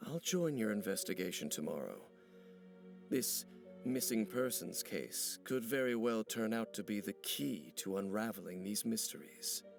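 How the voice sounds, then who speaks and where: Serious brooding game investigator, low gravelly voice, formal n measured, music behind. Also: male voice, animated. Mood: grave, analytical. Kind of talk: video game voice acting.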